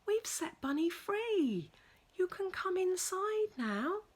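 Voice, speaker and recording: A woman's voice: very high-pitched, sing-song, slow, pitched above the performer's own voice, full character voice. A woman voicing a caring, earnest young child in a children's storybook, calling out eagerly. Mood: reassuring. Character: caring, eager young children worried about their cat.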